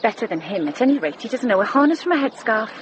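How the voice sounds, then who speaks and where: An actress playing an eager, outspoken, warm-hearted young woman in a radio drama. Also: a little high, big pitch swings, fast. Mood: bright, eager. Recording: dull sound, noisy ambience behind.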